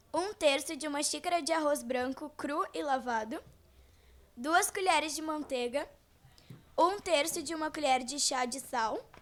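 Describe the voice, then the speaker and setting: Very high-voiced Brazilian girl presenting recipes. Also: fairly bright tone. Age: child, about twelve. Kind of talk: scripted 1940s-style radio presenting.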